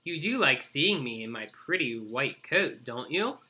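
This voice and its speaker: Coaxing hare, played by a man reader: storybook flirt, wheedling coo, bright, swooping delivery. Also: medium pitch, big pitch swings, measured pace.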